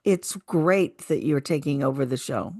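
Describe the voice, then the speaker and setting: A woman's voice: deep voice, unhurried, mellow, no echo, studio quality. An older woman, a retired actress, talking casually as a radio co-host.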